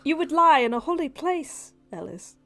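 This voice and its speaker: A woman's voice: sits high, big pitch swings, moderate pace, bright, forceful tone, faint music behind, heavily acted. Woman as an earnest, formal bodyguard. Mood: earnest, disapproving. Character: earnest, dutiful bodyguard to a vampire. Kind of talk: tabletop roleplay.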